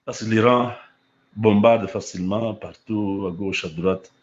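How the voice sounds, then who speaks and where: A man, hoarse from a cold, talking in a slow, halting way with many fillers as a livestream host. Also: lowish voice, fairly even intonation, highs cut off. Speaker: online channel host. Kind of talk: casual livestream commentary.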